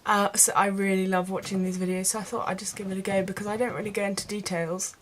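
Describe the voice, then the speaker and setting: A woman's voice: mid-range voice, little pitch movement, fast, slight background noise. Casual woman explaining a makeup routine. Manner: informal, step-by-step explaining to viewers. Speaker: beauty vlogger. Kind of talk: casual makeup tutorial chat.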